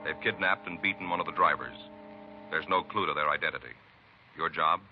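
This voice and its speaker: Deep solemn American radio announcer. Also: male voice, brisk. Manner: solemn, punchy short sentences. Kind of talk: dramatic 1940s-50s radio announcing, scripted. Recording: muffled vintage radio broadcast recording. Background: music.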